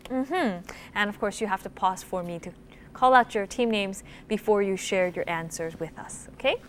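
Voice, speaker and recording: A woman's voice: mid-range voice, normal speed, slight background noise. A woman hosting a TV quiz show, chatty and upbeat, laughing easily with guests. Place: TV studio.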